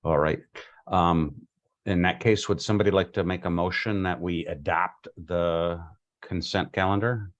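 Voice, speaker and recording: A man chairing a local government meeting in a halting, informal, polite way. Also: low-pitched, fairly even intonation, highs cut off. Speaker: commission chair.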